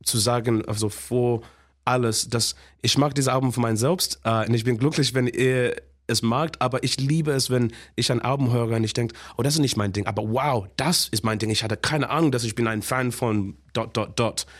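A man from Zimbabwe with an English accent, a musician guest speaking halting German mixed with English, animated and laughing often. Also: mid-range voice. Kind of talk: casual radio interview.

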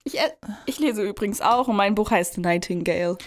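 A woman's voice: mid-range voice, a little fast. Bubbly woman in her twenties. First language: English. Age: 20s. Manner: bubbly, laughs a lot, short agreeing replies. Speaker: podcast co-host. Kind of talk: casual chat.

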